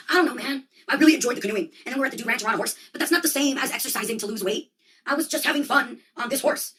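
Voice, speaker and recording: An American woman delivers a casual, rambling monologue at a very quick pace. She is slangy and theatrical, slipping into mock dialogue. The microphone sounds some distance away. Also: on the high side, sharp tone. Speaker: monologue host.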